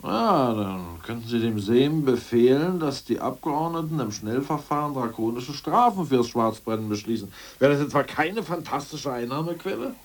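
Excitable, irritable jailed official, swinging pitch. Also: male voice, normal speed, hardly any pauses. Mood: speculating. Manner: volatile, swinging from mutters to outbursts. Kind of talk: German radio play dialogue.

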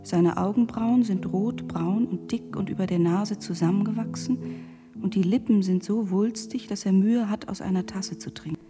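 A woman's voice: medium pitch, fairly even intonation, normal speed, natural voice. Woman as an intimate letter narrator over music. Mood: fascinated unease. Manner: literary, descriptive, intimate. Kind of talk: German radio drama narration. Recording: guitar music under the voice.

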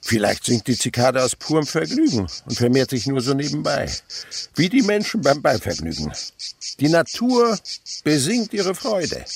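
Eccentric scientist dad in a radio drama, swoopy gravelly voice, lectures and muses with wonder. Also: male voice, mid-range voice, sing-song, normal speed, full character voice. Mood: musing. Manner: musing, lecturing, theatrical. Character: eccentric, curious insect researcher and father, enthusiastic lecturer. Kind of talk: radio play acting.

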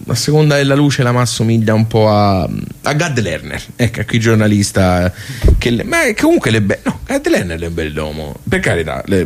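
An actor with a Tuscan Italian accent playing a forgetful, garrulous old village club regular in a radio theatre monologue, rambling, halting and repeating himself colloquially. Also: male voice, fairly low pitch, natural voice. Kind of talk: theatrical vernacular monologue told as casual bar storytelling.

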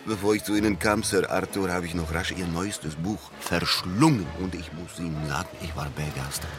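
An actor in a German radio drama playing a boastful, famous escape artist with a showy, theatrical manner. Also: male voice, low-pitched, normal speed, lightly acted. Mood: enthusiastic. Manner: showy, self-satisfied, theatrical. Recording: music behind the voice.

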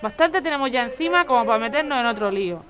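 A woman's voice: a little high, animated, fast talker, crowd noise, natural voice. A woman playing an anxious, exasperated and fearful wife in a Spanish audio drama, speaking with a Spanish accent. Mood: fearful, commanding.

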